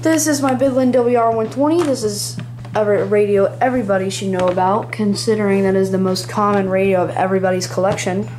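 A hobbyist giving a casual, rambling show-and-tell in a small room at home, speaking haltingly near a handheld camera. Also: mid-range voice, steady tone, a little mellow, a little hiss or hum. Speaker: hobbyist presenting a radio collection. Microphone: handheld camera close by.

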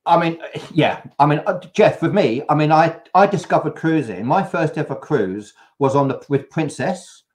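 An older man with a fairly high-pitched voice spins an animated, anecdotal story. He is hosting a casual video-call chat from home and talks loosely and warmly to his guests. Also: moderate pace, hardly any pauses. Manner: lively anecdotal storytelling with laughs. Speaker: host.

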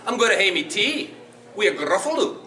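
Man playing a small boastful mouse, Scots dialect, high voice, bright tone, storybook reading. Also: sing-song, fairly quick, heavily acted. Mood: sly, boastful. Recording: echoey room, faint noise.

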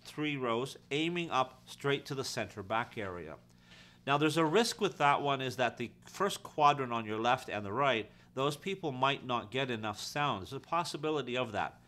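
A man's voice: medium pitch, fairly bright tone. A man giving an informal, practical how-to explanation in a conversational way, as a technician teaching his trade. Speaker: audio-visual technician and instructor.

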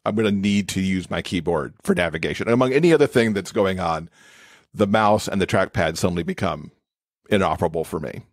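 A man's voice: low-pitched, brisk, no echo, studio quality. A man speaking as an expert podcast guest, explaining things in a conversational interview style.

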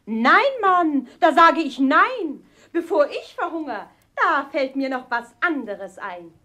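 Schrille, herrische Stiefmutter. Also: female voice, high-pitched, very animated, takes it slowly, exaggerated voice. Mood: scheming. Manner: sharp, commanding rhymed lines. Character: hard-hearted, bossy, scolding stepmother. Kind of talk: children's fairy-tale audio play.

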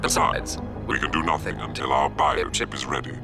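An actor playing a calm, calculating conspirator in a video game, gravelly and measured. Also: male voice, rumbling low, over music. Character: calm, calculating male conspirator in a shadowy cabal.

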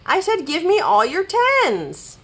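A woman voicing a fussy, excitable male rabbit in a children's storybook, emphatic and easily flustered. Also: mid-range voice, normal speed, lightly acted. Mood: exasperated.

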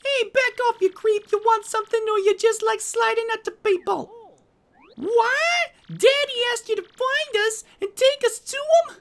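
Spoiled mob princess, extremely high pitch, melodramatic, pleading, defiant, video game cartoon style. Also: female voice, unhurried, a little hiss or hum. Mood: indignant. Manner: dramatic, haughty, pleading. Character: melodramatic young mob boss's daughter, passionate and defiant.

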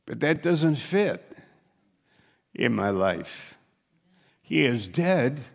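An older man, a pastor, preaching slowly and emphatically from a lectern on a church stage. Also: mid-range voice, big pitch swings, vocal fry. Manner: slow, emphatic preaching, rising to urgent questions. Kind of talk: sermon.

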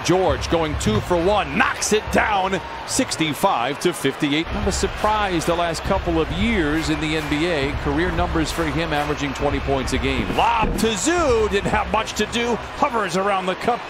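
A man calling basketball play-by-play on a broadcast, excitable and shouting on big plays. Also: high voice, normal speed, heavy background noise. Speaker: play-by-play announcer.

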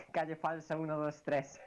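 A man's voice: fairly high pitch, flat delivery, lo-fi sound. A young man with a Galician accent, swearing and joking casually with friends during a game. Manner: sweary, joking, quick to laugh. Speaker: gamer in a streamed voice chat. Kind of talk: casual banter while gaming. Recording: noisy voice chat with clicks.

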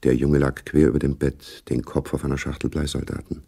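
A man narrating a German radio play as a sober, observant storyteller, with a dark, husky, gravelly voice. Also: extremely low pitch, flat delivery, brisk, exaggerated voice.

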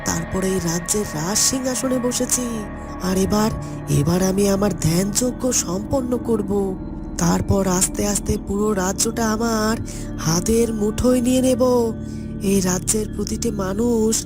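A woman playing a boastful, gloating evil sorcerer in a fairy-tale cartoon, speaking deliberately with emphatic repetition. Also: medium pitch, lightly acted.

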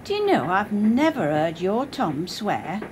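An actress playing a homely, rambling middle-aged wife chatting in a radio play. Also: female voice, medium pitch, big pitch swings, a little slow, darkish tone. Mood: chatty. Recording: clear train noise behind.

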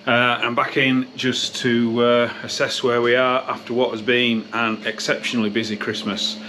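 A man's voice: mid-range voice, little pitch movement, moderate pace. British guy doing a casual vlog walkthrough of his pub, matter of fact, bit of background noise. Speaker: pub landlord, vlogger. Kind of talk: casual behind-the-scenes vlog narration. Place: inside a pub and its cellar.

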